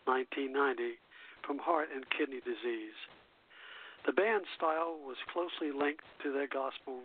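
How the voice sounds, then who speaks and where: Male radio presenter, higher register, scripted narration with small stumbles, narrowband telephone-like audio. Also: breathy edge. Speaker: radio show host. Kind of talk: scripted narration of gospel music history. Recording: narrowband, phone-like radio sound.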